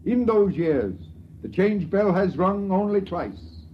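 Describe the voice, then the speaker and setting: A man's voice: high voice, lively intonation, slow, slight background noise. An actor playing a solemn, dogmatic priest making a proclamation to his people in a 1950s radio drama. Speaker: character in a 1950s radio play. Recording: muffled vintage radio broadcast.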